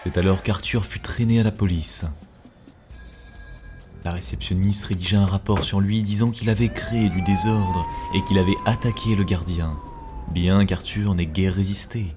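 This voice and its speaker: Low male narrator reading a scripted cartoon story, music playing behind him. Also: fairly even intonation, normal speed. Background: background music.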